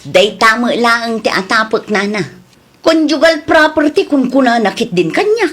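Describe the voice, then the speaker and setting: An actress in a radio drama playing a worried wife who protests earnestly. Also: female voice, a little high, animated, moderate pace, a bit bright.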